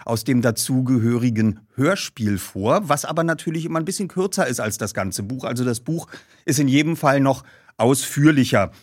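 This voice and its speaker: A man's voice: medium pitch, expressive, normal speed, dry recording, clean studio sound. A man speaking as a host, presenting to his listeners. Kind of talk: scripted ad read and casual show presenting.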